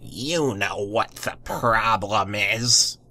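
A man voicing a gruff, angry female neighbour who scolds curtly in a comic story reading. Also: lowish voice, drawn-out words, bright, forceful tone, heavily acted. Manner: curt, scolding.

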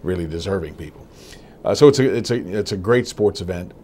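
A middle-aged man answering interview questions in a small TV studio, telling stories quickly with frequent restarts. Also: low voice, expressive, warm tone, slight background noise. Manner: quick, storytelling, many restarts. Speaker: racetrack communications executive.

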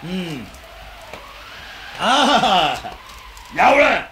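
A garrulous old martial artist, with a bright high voice. He boasts and teases in unhurried Hong Kong Cantonese, in 1980s radio drama style, on a slightly noisy recording. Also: very animated, exaggerated voice. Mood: scheming, playful. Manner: chattering, teasing, drawn-out.

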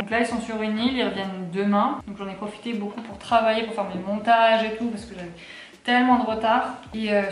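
A woman in her twenties from France with a French accent, chatting casually for a vlog in an expressive, slangy, sweary way. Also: medium pitch, reverberant, a little hiss or hum. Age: 20s. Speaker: vlogger. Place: small apartment kitchen and living room, indoors.

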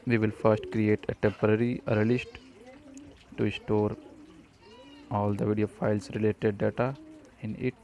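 A man presenting a step-by-step programming tutorial, speaking haltingly in short fragments. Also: on the low side, flat delivery, a little mellow, a little hiss or hum. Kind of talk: step-by-step screencast tutorial narration.

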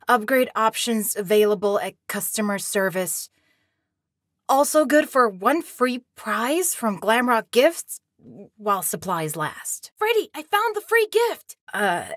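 A young boy in a video game, scared yet defiant. The voice is high and childlike, urgent and plaintive with a snarky edge. The sound is close and dry. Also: fairly bright tone, no echo, exaggerated voice. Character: scared but defiant young boy trapped in a pizza arcade.

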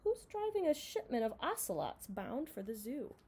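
A young woman reading a children's picture book aloud in an expressive, dramatized way, recorded in a room at home. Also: on the high side, moderate pace, slight background noise. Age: young adult. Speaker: storybook reader.

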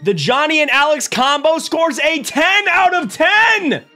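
A young man hosting a reaction stream from a small room on a desk mic, excitable and quick to laugh. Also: very high-pitched, faint music behind. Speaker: streamer host. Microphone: desk mic on arm.

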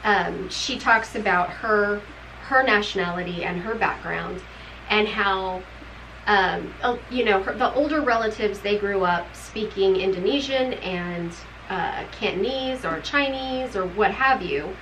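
A woman's voice: mid-range voice, a little slow, a bit bright, a little hiss or hum, rough recording. A middle-aged woman co-hosting a book club video, talking casually about a novel she read, rambling and laughing often. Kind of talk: casual book review chat. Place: home room with bookshelves.

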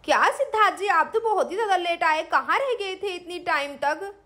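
Woman voicing an excitable high-pitched young aunt. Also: normal speed. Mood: bubbly. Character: proud, excitable young aunt who cooks.

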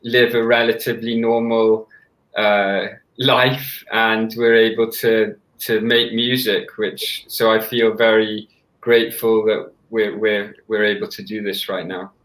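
A young man from Wales with a Welsh accent, a conductor talking softly over a video call, with many fillers and restarts. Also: fairly low pitch, level tone, a little slow, reverberant, poor audio. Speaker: orchestra conductor, guest. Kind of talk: casual interview chat. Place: small room at home. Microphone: headset on a video call.

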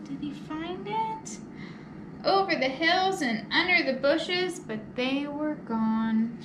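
High-voiced young woman reading slowly to children. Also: lively intonation. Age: young adult. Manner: addresses young listeners with simple questions. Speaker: library storytime host reading to children. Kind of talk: children's picture-book read-aloud. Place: indoor children's library room. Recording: roomy echo, clear background noise.

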